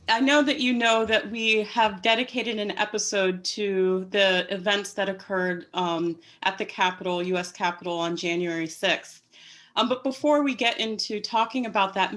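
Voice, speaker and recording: A woman's voice: medium pitch, fairly bright tone. A woman interviewing a guest, asking questions and responding with short encouraging affirmations. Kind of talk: casual interview conversation.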